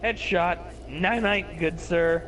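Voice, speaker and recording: A man with a lisp chatting excitedly while gaming, cursing and yelping at what happens on screen. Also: sits high, slow, a little hiss or hum. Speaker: gamer. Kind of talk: excited gameplay chat.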